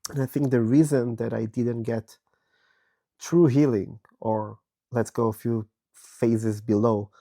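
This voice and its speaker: A man in his thirties from Israel, hoarse and raspy from a virus, reflecting slowly and candidly from his bed as a podcast host. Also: medium pitch, steady tone, warm tone. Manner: slow, thoughtful, candid, with many pauses. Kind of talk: personal reflective monologue. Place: bedroom, recording from bed.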